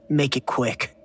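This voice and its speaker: An actor playing a bitter, hot-headed teenage boy with a husky voice and a defiant manner in a fantasy anime game. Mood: surly, bitter. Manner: defiant, surly. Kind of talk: English-dubbed anime game voice acting.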